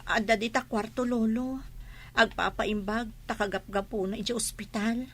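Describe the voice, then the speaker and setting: A woman's voice: fairly high pitch, fairly even intonation, moderate pace, a bit bright. A woman playing a dutiful, affectionate young daughter in a drama. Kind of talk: radio-style drama dialogue.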